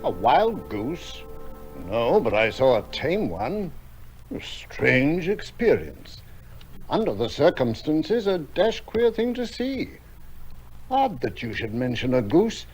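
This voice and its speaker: A man's voice: on the high side, sing-song, slow, faint music behind, low-quality recording. An actor playing a reserved upper-class military man, musing in understatement in an audio drama. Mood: musing, puzzled.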